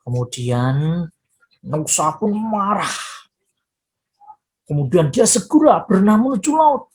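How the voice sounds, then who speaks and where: A woman storyteller narrating a children's folktale expressively, with deliberate, drawn-out dramatic phrasing. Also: lowish voice, big pitch swings, a little mellow, slightly rough, rough recording.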